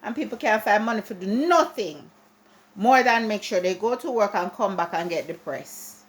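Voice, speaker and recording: A woman's voice: mid-range voice, lively intonation, normal speed. A Jamaican woman giving a chatty, ranting opinion piece to her viewers, often restarting and repeating herself. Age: adult. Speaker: vlogger. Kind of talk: casual opinion monologue to camera.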